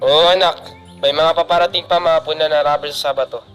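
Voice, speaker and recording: A man's voice: a little high, steady tone, brisk, fairly bright tone, soft background music, natural voice. A man playing an indulgent, protective middle-aged father in an amateur radio drama, with a Filipino accent. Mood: instructing. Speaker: student radio drama actor.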